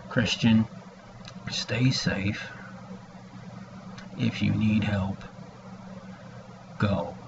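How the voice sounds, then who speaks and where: Middle-aged man, slow halting delivery, creaky voice, rambling video message, dull noisy webcam recording. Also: lowish voice, fairly even intonation. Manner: halting, hesitant, with long pauses. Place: small room indoors at home. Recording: dull lo-fi webcam audio, background noise.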